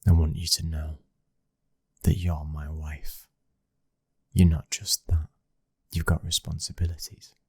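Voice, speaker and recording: Very deep, husky British man acting as mafia heir. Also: level tone, unhurried, long pauses, dry recording, studio quality, heavily acted. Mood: firm, serious. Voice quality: husky, breathy, often dropping toward a whisper. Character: commanding young male mafia heir, controlled and protective. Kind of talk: ASMR boyfriend roleplay, scripted acting.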